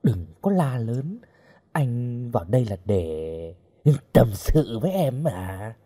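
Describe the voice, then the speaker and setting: A man voicing a lecherous, menacing thug who wheedles slowly in an oily, coaxing way. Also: medium pitch, sing-song, dark tone. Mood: leering, coaxing.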